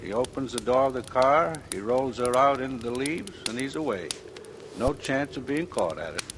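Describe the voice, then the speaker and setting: An actor playing a methodical, calmly certain police captain in a clipped 1940s radio style. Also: male voice, medium pitch, normal speed, natural voice. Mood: matter-of-fact. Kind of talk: 1940s radio crime drama acting. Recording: noisy old radio recording.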